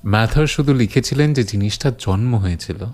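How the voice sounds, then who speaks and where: A man playing a stubborn, imaginative horror writer in an audio story, deliberate and argumentative, with dramatic pauses. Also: on the low side, a little mellow. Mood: brooding narration. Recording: clear background ambience noise.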